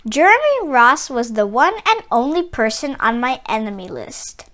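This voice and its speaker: Bright, high-pitched woman reading a children's story. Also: big pitch swings. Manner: theatrical, emphatic, drawn-out key words. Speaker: children's book reader. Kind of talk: expressive read-aloud storytelling.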